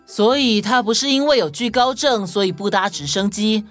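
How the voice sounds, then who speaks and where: A woman voicing a sharp, calm young detective in a children's audio story, reasoning in a deliberate, matter-of-fact way. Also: medium pitch, close to an everyday voice. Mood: accusing, reasoning. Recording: music under the voice.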